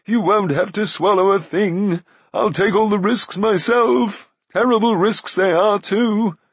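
Whining little giant, very high-pitched. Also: male voice, steady tone, unhurried, husky, no room sound, heavily acted. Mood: pleading. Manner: pleading, plaintive, babbling self-justification. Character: whining self-pitying little giant, cowardly and wheedling.

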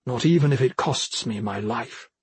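A man voicing a loyal, devoted male soldier in an audiobook, earnest and measured in his delivery. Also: medium pitch, a little mellow, slightly rough, dry recording. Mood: solemn devotion. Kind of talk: audiobook character dialogue.

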